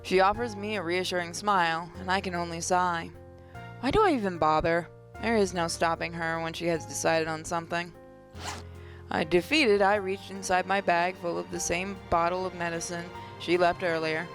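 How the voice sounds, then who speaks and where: A woman's voice: fairly low pitch, fairly even intonation, moderate pace, faint music behind. A woman reading a visual novel's narration aloud, now and then stumbling and rereading a phrase. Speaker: gaming channel host.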